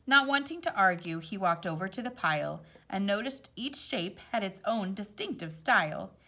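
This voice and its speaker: Lady doing storytime for little kids, really animated voice, reads then explains casually. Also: mid-range voice, normal speed. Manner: expressive, explanatory, addressing young children. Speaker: storytime reader. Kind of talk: animated children's picture-book read-aloud with casual asides.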